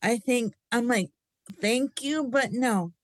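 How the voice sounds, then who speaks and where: A woman's voice: a little high, slow, no echo. A woman hosting a radio interview, affirming her guest and asking open questions.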